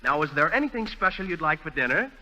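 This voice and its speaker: Piercingly bright, earnest Marine sergeant. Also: male voice, fairly high pitch, big pitch swings, fast, long reverb tail, heavily acted. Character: earnest, rambling Marine sergeant with a camp errand. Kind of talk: 1940s radio comedy acting. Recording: muffled, hissy 1940s radio recording.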